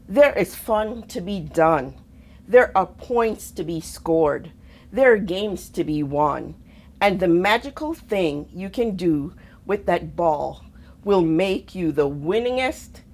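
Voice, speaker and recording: A woman reads a children's picture book aloud at an unhurried pace. Her pitch moves freely up and down around an average register, and she shapes each phrase expressively, leaving pauses between them. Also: darkish tone. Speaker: storybook reader, army officer.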